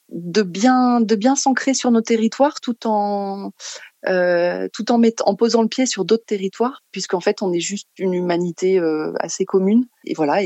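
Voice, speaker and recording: A woman's voice: mid-range voice, mellow, no room sound. A woman with an accent from France giving spontaneous interview answers as a guest, searching for words and restarting phrases. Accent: French from France. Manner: halting, searching for words, repeating phrase starts. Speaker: interview guest, community association organiser.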